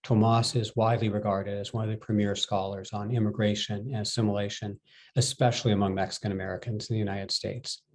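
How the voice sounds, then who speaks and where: A man in a measured way introducing speakers and moderating a webinar over a video call. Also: fairly low pitch, little pitch movement. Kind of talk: formal introduction.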